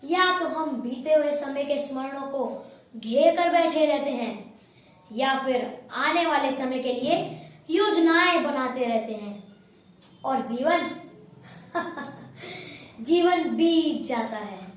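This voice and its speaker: A woman performing a monologue as a calm, wise divine teacher, speaking slowly and reflectively. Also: high-pitched. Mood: serene. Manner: slow, reflective, preaching. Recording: very echoey room.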